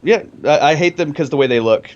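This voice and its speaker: A man's voice: fairly high pitch, a little fast, a little mellow, dull audio. A man in his twenties, a guest in a casual online interview, talking in a laid-back, rambling way and laughing often. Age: 20s. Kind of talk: casual chat.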